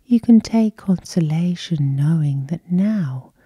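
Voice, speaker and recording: Slow, whispery dark-voiced woman guiding sleep. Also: on the low side, animated, dry recording. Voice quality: breathy, whispery. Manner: soft, slow, lulling. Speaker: hypnosis session host. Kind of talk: soothing sleep hypnosis guidance, ASMR.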